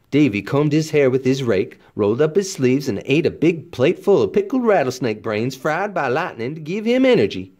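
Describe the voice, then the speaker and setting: A man's voice: a little high, a little mellow. A man narrating a children's storybook expressively, with folksy, dramatic emphasis like a teacher reading aloud.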